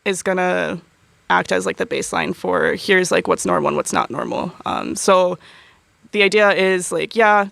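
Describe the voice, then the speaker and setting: Young woman with vocal fry. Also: lowish voice, sing-song, normal speed, a bit bright, dry recording. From United States. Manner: chatty, many fillers and laughs. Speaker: university student guest. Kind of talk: casual interview chat.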